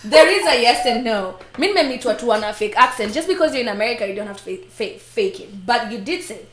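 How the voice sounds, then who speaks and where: A woman's voice: on the high side, quick pace, reverberant. A Kenyan woman co-hosting a live stream, chatting casually in English and Swahili with lots of laughter and playful quoting. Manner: animated, laughing, switches into playful voices for quotes.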